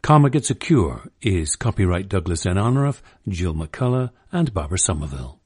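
A man reads a short, formal announcement in a measured way. His voice is very deep and husky, with wide swings in pitch. He sounds distant from the mic. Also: big pitch swings, darkish tone. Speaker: archive announcer.

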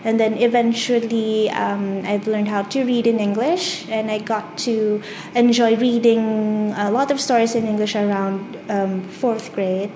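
A woman in her twenties with a Filipino accent, whose first language is Tagalog. She reads a story carefully, then talks about herself in measured speech with frequent "um" fillers. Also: on the high side, steady tone, background noise. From Philippines. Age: 20s. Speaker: dialect archive speaker. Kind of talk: reading a passage, then casual self-description.

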